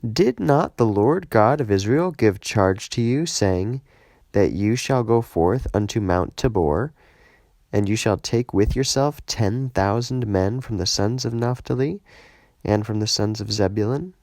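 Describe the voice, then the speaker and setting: A man's voice: fairly low pitch, unhurried, darkish tone, no room sound. A man voicing a commanding prophetess who urges a warrior on, in an emphatic declamatory way, in a scripture audiobook. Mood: firm.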